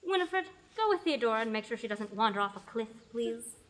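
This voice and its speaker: A woman's voice: a little high, lively intonation, a little fast, a bit bright. A young woman playing a wry, take-charge traveller with a dry, teasing manner in an amateur audio drama. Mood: brisk, commanding. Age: young adult.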